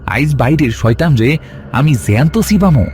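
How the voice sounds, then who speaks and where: A man playing a hungry, menacing shape-shifting ghoul posing as a village watchman, with a rural Bangladeshi Bengali accent, in an animated horror story. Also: fairly high pitch, moderate pace, soft background music, lightly acted.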